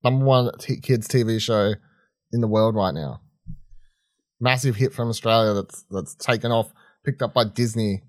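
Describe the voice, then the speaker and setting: A man with a fairly low voice banters as a podcast co-host. He is blunt and offhand and swears casually. The recording is dry and free of room sound. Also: moderate pace, dry recording.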